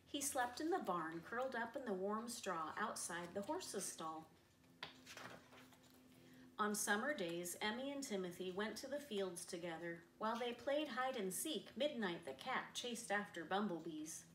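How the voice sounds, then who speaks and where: A middle-aged woman reads a children's picture book aloud in an expressive storyteller's manner. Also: medium pitch. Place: living room, seated on a sofa. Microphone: camera a few metres away. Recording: roomy, distant camera mic, faint noise.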